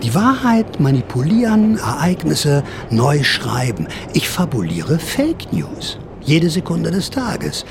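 A man's voice: a little high, wildly swooping pitch, slow pace, vocal fry, few pauses, noisy background, heavily acted. An actor playing a sly older counterculture veteran who narrates his memories in a radio drama, confiding, wry and theatrical. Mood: conspiratorial, theatrical.

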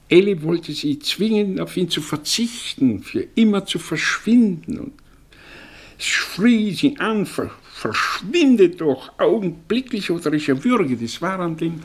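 An actor playing a cynical, eloquent middle-aged professor in a mid-century radio play, with a theatrical, sardonic delivery. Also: male voice, sits high, breathy edge, exaggerated voice.

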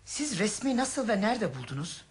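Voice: medium pitch, big pitch swings, moderate pace, slight background noise, natural voice. An actor playing a cool, resigned young male schemer in a Turkish radio play, confessing candidly and matter-of-factly. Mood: polite curiosity. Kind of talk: Turkish radio theatre acting.

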